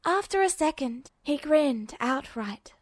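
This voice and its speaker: A woman's voice: high voice, expressive, takes it slowly, dry recording. A woman narrating a story from a script as an audiobook-style reader. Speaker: podfic narrator.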